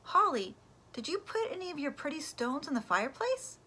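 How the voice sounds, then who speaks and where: A woman's voice: high-pitched, very animated, moderate pace, pushed and bright, pitched above the performer's own voice, full character voice. A woman voicing a curious, keen young girl in a children's storybook, with an eager, wondering manner.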